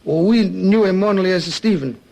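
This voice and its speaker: An actor playing a guarded foreign suspect, stiff and defensive, in an old-fashioned radio drama. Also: male voice, sits high, moderate pace, vocal fry, a little mellow. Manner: stiff uncontracted phrasing, defensive. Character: guarded foreign male suspect under questioning.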